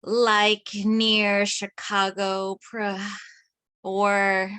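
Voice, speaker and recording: Woman reading an eager chatty young friend, bright tense voice. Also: medium pitch, flat delivery, extremely slow, clear, resonant tone. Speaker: table-read actor. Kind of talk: script table read.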